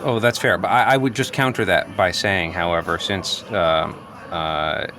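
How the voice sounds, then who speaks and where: A man's voice: deep voice, normal speed, bright, forceful tone, soft background music. An actor playing a neurotic, agitated office worker in his late thirties, wordy and hesitant, justifying himself point by point in improvised audio comedy. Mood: earnest, relieved. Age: late 30s. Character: neurotic, agitated office worker newly rejecting social norms.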